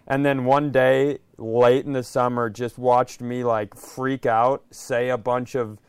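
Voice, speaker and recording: A man with a clean, smooth voice in a very dry recording, riffing casually as a podcast host. He talks in a sardonic, crude comic manner. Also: mid-range voice, little pitch movement, slow pace, a little mellow, dry recording.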